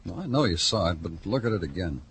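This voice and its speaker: An actor playing a hard-boiled police detective in an old radio drama, clipped and brooding. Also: male voice, deep voice, big pitch swings, fast talker, slight background noise. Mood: terse. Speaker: detective and narrator. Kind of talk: 1950s radio noir narration and acting.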